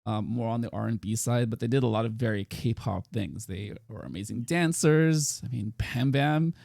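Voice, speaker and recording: Creaky-voiced man hosting a podcast. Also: mid-range voice, moderate pace, dry recording, studio quality. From United States. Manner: relaxed, teasing, many fillers. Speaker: podcast host. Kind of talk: casual podcast chat.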